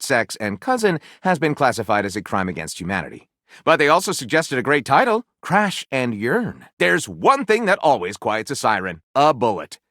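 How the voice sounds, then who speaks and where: A man's voice: medium pitch, a bit bright, dry recording, clean studio sound. A man playing a flippant, theatrical writer character in a video game, speaking with wry sarcasm and mock grandeur. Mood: mock-grave, then playful, dramatic. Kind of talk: animated game dialogue, mock-grand title announcing.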